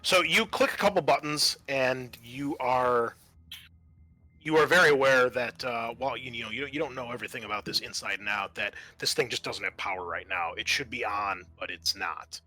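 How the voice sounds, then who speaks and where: Guy game mastering a tabletop rpg, bright forceful voice, explains stuff conversationally. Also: medium pitch, moderate pace, rough recording. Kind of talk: running a tabletop roleplaying game.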